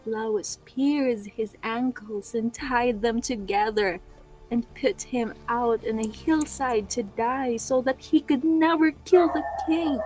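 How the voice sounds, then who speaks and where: A woman playing a reassuring queen and wife in a readers' theatre Greek tragedy, reciting her lines deliberately. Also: on the high side, a little mellow, low-quality recording.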